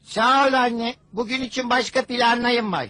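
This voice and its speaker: A man voicing a sarcastic young boy in a Turkish cartoon dub, with a wry, deadpan manner. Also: very high voice, moderate pace, no room sound. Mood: questioning.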